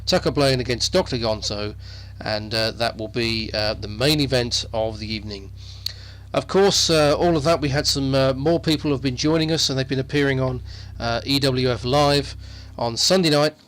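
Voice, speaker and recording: A man's voice: mid-range voice, normal speed, a bit bright. A man hosting an informal, unscripted wrestling news roundup, talking in a hesitant, rambling way and often repeating himself. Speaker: wrestling news host and commentator. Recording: music playing behind the voice.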